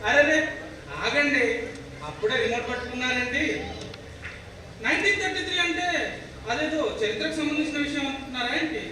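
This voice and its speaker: A very high-pitched, chatty TV presenter acted by a man in a stage play. The delivery is emphatic and teasing, full of questions to the viewers. It sounds distant, in a very echoey, noisy hall. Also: brisk, pauses often, bright, forceful tone, full character voice. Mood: bright, emphatic. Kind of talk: theatrical scripted presentation in a one-act play. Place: echoey hall, voice picked up from afar.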